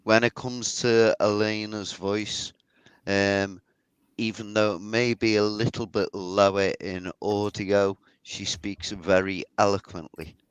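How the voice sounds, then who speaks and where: A man with a Liverpool accent, co-hosting an online interview in a relaxed, joking way. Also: on the low side, takes it slowly, smooth, no room sound, rough recording. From Liverpool, England. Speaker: co-host.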